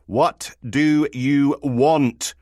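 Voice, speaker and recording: A man's voice: medium pitch, lively intonation, normal speed, no room sound, clean studio sound, voice pushed up, natural voice. Man acting a curt, grumpy police sergeant, raised voice. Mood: irritated. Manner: curt, exasperated. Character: grumpy, sarcastic, weary male police sergeant.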